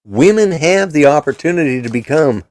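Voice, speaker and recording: A man's voice: on the high side, animated, normal speed, a little mellow, no echo. An older man hosting a casual political chat show, talking in a blunt, rambling, opinionated way. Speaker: podcast host.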